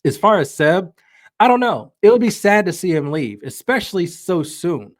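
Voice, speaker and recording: A man's voice: high voice, big pitch swings, moderate pace, dry recording, clean studio sound. A man talking casually as a podcast guest, giving opinionated soccer analysis and thinking aloud as he goes. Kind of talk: casual sports analysis in conversation.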